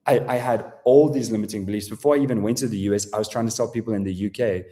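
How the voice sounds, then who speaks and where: A South African man hosts a live sales webinar, talking in a low, dark, mellow voice. His delivery is casual and salesy, full of swearing, fillers and restarts as he pushes his offer to viewers. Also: fairly even intonation, quick pace. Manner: casual, profane, filler-heavy sales talk. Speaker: webinar presenter.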